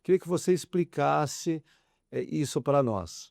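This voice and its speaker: A man's voice: on the high side, lively intonation, a little slow, vocal fry, darkish tone, no echo, studio quality. A male interviewer who introduces his guest and asks questions, with small restarts. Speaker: podcast interviewer. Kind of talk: interview hosting.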